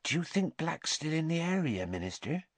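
A man's voice: mid-range voice, huge pitch swings, moderate pace, no room sound, voice pushed up. A man voicing a chatty, curious female pub landlady with a familiar, gossipy manner in a storybook. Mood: hushed curiosity.

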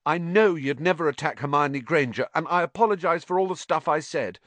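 A man voicing an earnest, formal schoolboy in a storybook, his voice lifted high. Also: brisk, a bit bright, no room sound, natural voice.

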